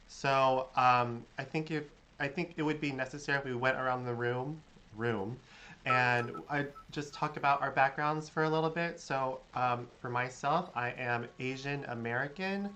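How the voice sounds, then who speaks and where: Host from California, mid pitch, talks casually with lots of ums over a video call. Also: normal speed, sharp tone. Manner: chatty, filler-heavy, upbeat. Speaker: panel host. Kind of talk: casual online panel chat.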